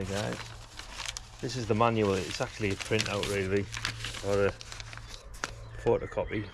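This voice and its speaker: A man's voice: low-pitched, background noise. A man giving casual, unscripted hobbyist commentary in a chatty, rambling way, repeating himself as he goes. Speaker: radio hobbyist vlogger.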